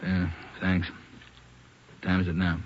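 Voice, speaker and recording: An actor playing a gruff, hesitant male police chief giving orders. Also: low voice, completely flat delivery, slow. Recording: dull old radio, faint noise.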